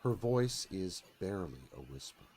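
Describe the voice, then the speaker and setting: Animated male screenplay narrator. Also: deep voice, normal speed. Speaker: narrator reading stage directions. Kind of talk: dramatic scripted narration.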